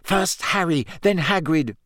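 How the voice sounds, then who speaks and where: A man's voice: a little high, animated, measured pace, no room sound, clean studio sound, pitched above the performer's own voice. Hermione Granger, a man voicing a fierce clever girl. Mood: furious. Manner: sharp, defiant outbursts. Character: clever bossy schoolgirl, fierce and indignant. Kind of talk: audiobook character voice.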